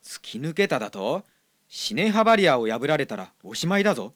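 A man's voice: on the high side, big pitch swings, brisk. A man playing an alarmed, respectful spaceship officer with theatrical delivery in an amateur radio drama. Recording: amateur radio drama under loud music.

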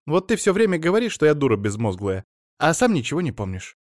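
A man voicing an excitable, flighty wife who chatters fast and breathlessly, recorded dry and close. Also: a little high, no echo, voice pushed up. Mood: defensive.

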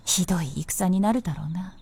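A woman's voice: fairly low pitch, a little fast, creaky, very noisy. An actress playing a knowing young noblewoman who talks in blunt, masculine phrasing with self-assurance, in a radio drama. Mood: cool, musing. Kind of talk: Japanese radio drama acting.

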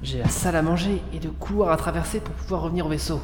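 A man's voice: a little high, quick pace, background noise, lightly acted. A man acting in an audio drama as a frantic, cursing lone space pilot who mutters to himself. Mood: tense, resolute. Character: panicked, foul-mouthed lone space pilot trapped with a killer drone. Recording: echoey reverberant hall, loud music behind.

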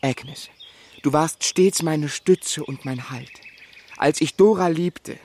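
An actor playing a tender, reflective novelist looking back on his life in a measured, earnest radio drama delivery. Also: a little high, darkish tone, a little hiss or hum. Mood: tender, emotional. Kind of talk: literary radio drama narration and dialogue. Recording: studio radio play with music underneath.

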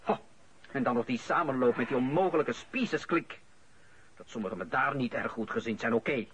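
An actor with a Flemish accent playing an aggrieved, bitter adult son who feels wrongly accused in a radio play. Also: male voice, medium pitch, a little fast, fairly bright tone, a little hiss or hum, lightly acted. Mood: indignant. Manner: agitated, bitter, emphatic. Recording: muffled radio drama recording.

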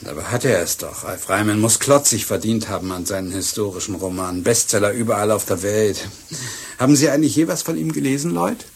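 An actor playing a brisk, wryly world-weary senior police detective with casual authority in a German radio crime drama. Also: male voice, on the low side, creaky, breathy edge. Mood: probing. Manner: casual authority, dry, questioning.

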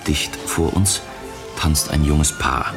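An actor as a formal narrator, introducing a radio whodunit in measured 1960s German broadcast style. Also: male voice, a bass voice, over music. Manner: measured, formal announcer delivery.

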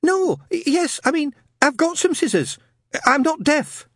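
Man doing a squeaky, stammering nervous young man. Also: expressive, unhurried, fairly bright tone, no room sound, studio quality, heavily acted. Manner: hesitant, stuttering.

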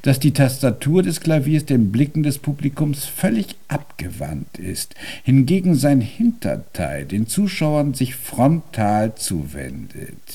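An actor in a German radio drama playing a stubborn, imperious Viennese composer-pianist, with a formal, deliberate and pompous delivery. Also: male voice, mid-range voice, big pitch swings, dark tone, slightly rough, full character voice. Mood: commanding.

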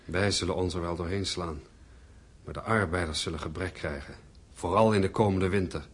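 A man acting in a radio drama as an earnest, wistful, worried husband and heir, with a husky voice and brooding, expressive delivery. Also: sits low, normal speed. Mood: gloomy.

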